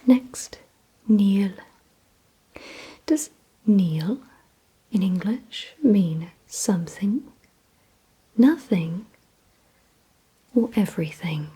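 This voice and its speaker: A woman whispering breathily in an ASMR roleplay, giving instructions deliberately and repeating words with pauses, close to the microphone. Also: mid-range voice, animated, dark tone, rough recording. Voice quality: breathy whisper, mostly unvoiced. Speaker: ASMR creator leading a word-guessing game.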